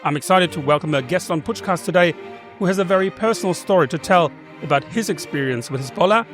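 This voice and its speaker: A male podcast host speaks in a fairly high voice and presents with clear, articulate delivery. Soft music plays faintly under his voice. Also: fast talker. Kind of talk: scripted intro then interviewing.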